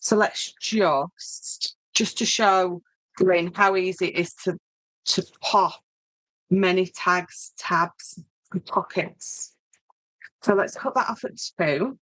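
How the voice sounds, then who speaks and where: Low-pitched Yorkshire woman, chatty crafter. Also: slow pace, a bit bright, no room sound, poor audio. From Yorkshire, northern England. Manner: chatty, thinking aloud, tag questions. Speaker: craft tutorial host. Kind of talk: informal live craft demonstration, chatting to viewers.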